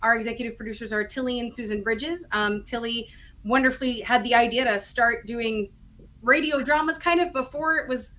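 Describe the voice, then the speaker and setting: A woman's voice: on the high side, a little fast, a bit bright. A woman talking casually as an interview guest, explaining things in a chatty, enthusiastic way. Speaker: guest, audio drama writer and co-creator.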